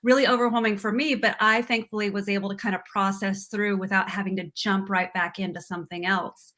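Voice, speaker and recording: Middle-aged woman, bright tone, chatty guest, video call mic. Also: medium pitch, level tone, brisk. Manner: chatty, warm storytelling with fillers. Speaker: guest, former ESL teacher. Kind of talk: casual interview chat.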